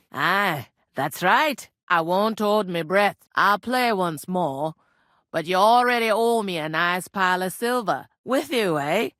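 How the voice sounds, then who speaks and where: A woman voicing a gruff, teasing medieval tavern innkeeper in a video game, with a blunt, folksy, scolding way of talking. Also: lowish voice, a bit bright, no echo, studio quality. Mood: sceptical, haggling. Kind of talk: scripted game character dialogue.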